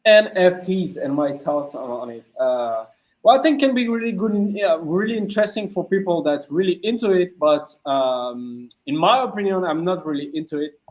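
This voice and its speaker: A man in his thirties with a French accent, a DJ guest on a video-call interview, halting and searching for words with many fillers. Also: on the high side, expressive. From France. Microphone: studio mic on a video call.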